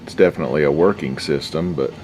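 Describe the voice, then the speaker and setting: A man's voice: extremely low pitch, fast talker, a little mellow, a little hiss or hum. A man giving casual, unscripted commentary as a hobbyist repairer, talking in a folksy, slangy mutter while he works. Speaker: hobbyist radio restorer and video host.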